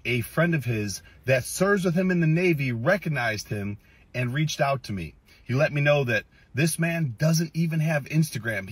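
A man in his thirties speaking to camera in a measured, serious way, giving an update. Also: on the high side, breathy edge, no room sound, low-quality recording. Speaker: social media commentator. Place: inside a car. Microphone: phone in front of him.

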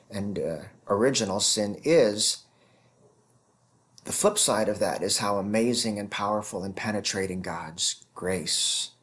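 A man in his fifties giving an explanatory theology lecture as a professor, speaking deliberately and stressing key words. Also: on the low side. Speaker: professor teaching scripture.

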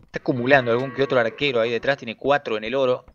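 A man's voice: mid-range voice, background noise. A man with an Argentine accent co-casting a strategy game, heard over a voice chat link. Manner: fast, steady analytical reads. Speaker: co-caster. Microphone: voice chat headset. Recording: dull lo-fi voice chat audio.

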